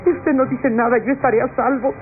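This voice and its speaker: An actress playing a scheming young niece who pleads in a tremulous, melodramatic radio soap manner. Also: female voice, sits high, fairly even intonation, quick pace, natural voice. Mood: fearful, tearful confession. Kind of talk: classic Latin American radio soap acting. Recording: tinny old radio recording with music.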